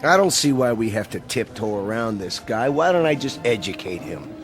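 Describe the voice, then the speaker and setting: An actor playing a tough, menacing enforcer in a radio drama, with a curt way of talking. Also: male voice, mid-range voice, very animated, moderate pace. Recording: music behind.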